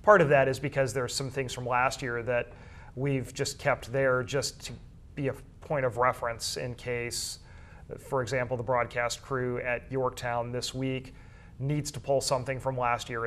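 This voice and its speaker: Male interviewee, mid-pitched, measured and detailed, explaining his work in school sports information. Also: fairly bright tone.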